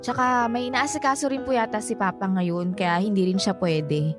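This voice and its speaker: A woman's voice: medium pitch, steady tone, normal speed, over music, close to an everyday voice. An actress playing a cheerful, polite young Filipino woman in a radio drama, chatty and respectful toward an older family friend. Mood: bashful, apologetic. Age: young adult.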